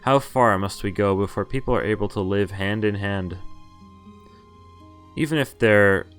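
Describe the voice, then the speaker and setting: A man's voice: fairly low pitch, unhurried, a bit bright, soft background music. A man streaming a visual novel, reading its text aloud and adding casual, wry asides, often stumbling over names and correcting himself.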